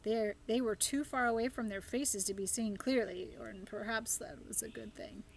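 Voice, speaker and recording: A woman's voice: on the high side, steady tone, moderate pace, vocal fry, slight background noise. A woman narrating a children's book aloud as a storybook reader.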